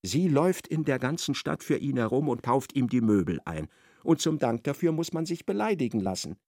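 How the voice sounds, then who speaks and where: A man's voice: mid-range voice, very animated, fairly quick, a little mellow, studio quality. A man narrating a literary radio drama as a wry storyteller who echoes an aggrieved wife's thoughts. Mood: indignant, wry.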